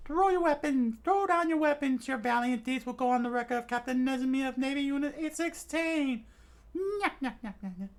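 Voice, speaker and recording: A man voicing a sneering, cowardly middle-aged navy captain with a squeaky cackling laugh. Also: brisk. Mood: gloating.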